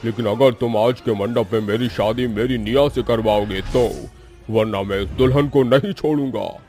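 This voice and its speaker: A man's voice: mid-range voice, normal speed, warm tone, soft background music, close to an everyday voice. A man voicing a vengeful, lovesick male ghost groom in a horror cartoon, with a commanding, dramatic delivery. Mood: threatening. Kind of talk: Hindi horror cartoon voice acting.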